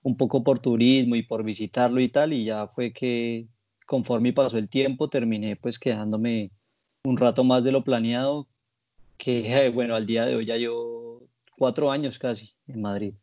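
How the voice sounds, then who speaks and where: A man with a Colombian accent talks casually as an interview guest. His voice is dark and relaxed, and he phrases things reflectively, with small softeners. The recording is dry and a little dull. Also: mid-range voice, moderate pace, no echo. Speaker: interview guest, graphic designer and muralist.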